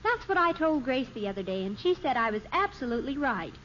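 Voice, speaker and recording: A woman's voice: sits high, very animated, fast talker, a bit bright. A woman playing an earnest, chattering American teenage girl who wheedles her father in a 1950s radio sitcom. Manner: breezy, earnest, gushing. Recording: dull, hissy old radio recording.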